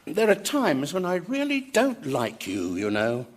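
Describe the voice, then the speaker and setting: An older actor playing an earnest, principled professor in a radio sitcom, prim and argumentative. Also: male voice, on the high side, huge pitch swings, a little slow, heavy background noise. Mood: reproachful.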